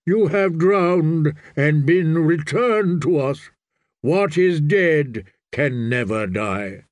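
Male narrator as stern prophet, fantasy audiobook, raised pitch, slow and solemn delivery, commanding. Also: expressive, no room sound. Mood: solemn, fervent. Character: stern, fervent prophet priest of a sea god. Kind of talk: dramatic fantasy audiobook character voice.

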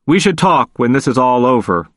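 A man voicing a calm, reserved male prosecutor who speaks in a measured, noncommittal way. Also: mid-range voice, dry recording, clean studio sound, natural voice. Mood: guarded.

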